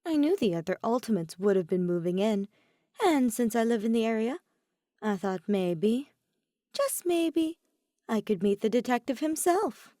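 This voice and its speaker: Woman voicing a high-pitched, theatrical mischievous teenage boy. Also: lively intonation, a little slow, mellow, dry recording, studio quality. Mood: playful confession. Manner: theatrical, teasing, boastful.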